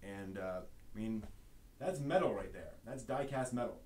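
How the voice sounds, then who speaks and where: A man's voice: fairly low pitch, normal speed, darkish tone. A man hosting a casual live show-and-tell stream, rambling and thinking aloud as he handles things. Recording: noisy live stream audio.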